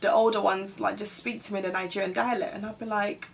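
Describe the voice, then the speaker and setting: A woman's voice: medium pitch, flat delivery, fast, creaky, a little hiss or hum. A woman from Ghana chatting casually as a vlogger, rambling with lots of "like" and "you know". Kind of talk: casual get-to-know-me chat. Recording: voice a bit distant from the mic.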